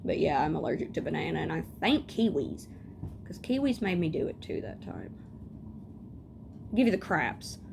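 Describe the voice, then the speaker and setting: Gravelly chatty woman. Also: low voice, very animated, normal speed, pauses often. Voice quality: scratchy voice. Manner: rambling, chatty, tangents and mild swearing. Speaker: beauty vlogger. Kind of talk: casual chat.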